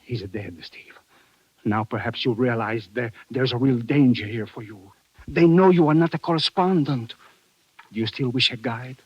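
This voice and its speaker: An actor playing a cautious, earnest Sicilian bar owner with an Italian accent in an old radio drama. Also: male voice, medium pitch, moderate pace, a little mellow, slightly rough, no echo, natural voice. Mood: grave. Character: fat Sicilian bar owner, cautious, loyal underworld contact. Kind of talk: 1950s radio drama acting. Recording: muffled old radio recording.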